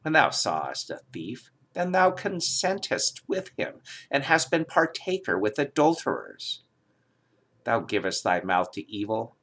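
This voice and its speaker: A man reading the Bible aloud in a solemn, dramatic style, with emphatic, declamatory delivery and measured phrasing. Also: on the low side, fairly bright tone, slightly rough. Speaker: scripture reader.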